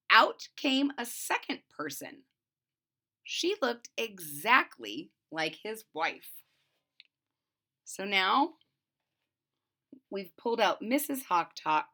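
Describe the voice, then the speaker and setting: Lady doing kids storytime, super expressive, slow and bright. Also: mid-range voice. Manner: expressive, pausing to recap the story simply. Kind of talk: animated read-aloud of a picture book for children.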